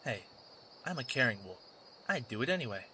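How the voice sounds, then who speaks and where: A man's voice: mid-range voice, sing-song, a little fast, bright, forceful tone, husky, exaggerated voice. A man playing a cocky wolf drifter, a former warrior, in an audio drama, with a sarcastic, casual delivery. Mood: wry.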